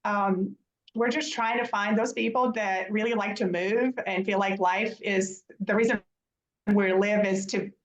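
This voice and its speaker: A woman's voice: mid-range voice, fairly even intonation, unhurried. A woman from Serbia, speaking candidly and casually as a guest executive. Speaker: dentist and executive, podcast guest. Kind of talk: casual conversational. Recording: dull, echoey room sound.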